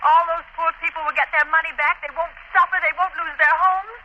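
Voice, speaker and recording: Very high, bright, earnest heroine. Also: female voice, fairly even intonation, quick pace, heavily acted. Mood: earnest, relieved. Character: earnest, devoted young female companion of the hero. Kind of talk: 1930s-40s radio drama acting. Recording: dull, faintly noisy vintage radio recording.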